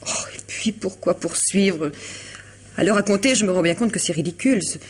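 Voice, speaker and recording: A woman's voice: mid-range voice, a little fast, breathy edge, lightly acted. An actress playing an elegant, troubled woman confiding in a lawyer friend in a vintage French radio drama, hesitant yet articulate. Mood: uneasy, hesitant. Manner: hesitant, restarting, articulate and formal.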